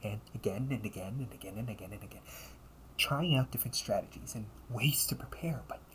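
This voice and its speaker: A man playing an earnest, weary time-traveling older brother turned villain in an amateur audio roleplay, speaking haltingly and often dropping to a breathy near-whisper. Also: on the low side, fairly bright tone, lightly acted. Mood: weary, desperate. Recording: noisy amateur home recording.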